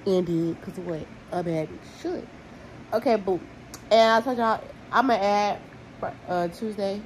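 A young woman talks straight to her viewers in a loose, blunt, slangy way, tallying figures aloud as she goes. Her voice sits at an ordinary middle pitch. A faint hiss of background noise runs under the recording. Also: measured pace, poor audio. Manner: counting money aloud, chatty and blunt with slang. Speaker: vlogger. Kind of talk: casual vlog talk to camera.

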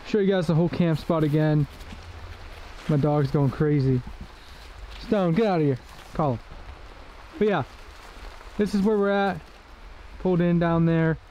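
A man's voice: a little high, normal speed, pauses often, darkish tone, highs cut off, a little hiss or hum. A man chatting casually for his camping vlog at an outdoor forest campsite, rambling with lots of "uh" and "like". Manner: laid-back, rambling with many "uh" and "like" fillers. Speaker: overland camping vlogger.

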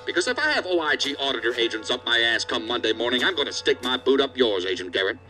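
Man playing a fast-talking, hard-bitten agency boss. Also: medium pitch, very animated, pushed and bright, soft background music. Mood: scolding. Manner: stern, profane, scolding. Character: angry, hard-bitten male assistant director of investigative agency. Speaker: actor in audio drama. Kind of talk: dramatic audio drama acting.

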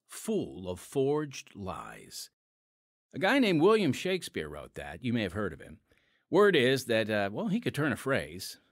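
A man hosting a podcast in scripted narration, theatrical and folksy, with dramatic pauses. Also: medium pitch, no room sound, studio quality. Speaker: podcast host and announcer. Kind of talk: scripted narration, radio host. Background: music.

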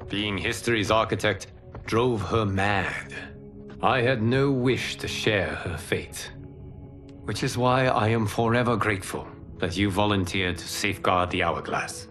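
A calm, commanding protector god. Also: male voice, lowish voice. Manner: calm, measured, authoritative. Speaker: video game character. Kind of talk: game cutscene acting. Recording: game audio with loud score.

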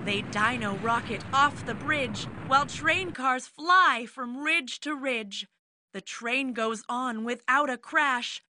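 A woman reading a rhyming children's picture book aloud in an expressive, measured manner. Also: high voice, a little hiss or hum. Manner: expressive, measured rhyming delivery with acted exclamations. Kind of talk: read-aloud children's story narration.

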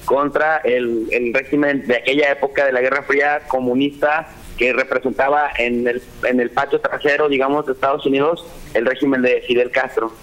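A man's voice: mid-range voice, fairly even intonation, normal speed, a bit bright, poor audio. Man lecturing on a video call. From Mexico. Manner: lecturing, with frequent "eh" fillers. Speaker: guest professor. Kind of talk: political talk show discussion. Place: home office.

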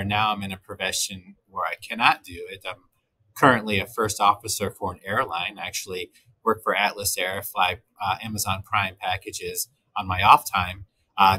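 Bright-voiced, polite middle-aged man. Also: low voice, low-quality recording. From Texas, raised in Michigan. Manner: polite, earnest, laughs easily. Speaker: guest. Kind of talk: casual video-call interview. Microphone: video call.

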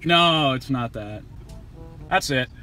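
Young man, deadpan, music underneath. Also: mid-range voice, slow pace. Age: young adult. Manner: deadpan, slangy, sweary. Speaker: prankster ordering at drive-throughs. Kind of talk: casual prank chat. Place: inside a car. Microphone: camera held by passenger beside him.

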